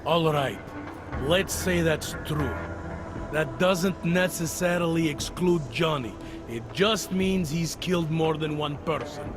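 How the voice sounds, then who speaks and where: Slow-talking, skeptical male police detective. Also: fairly high pitch, music in the background. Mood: skeptical, probing. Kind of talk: video game cutscene acting.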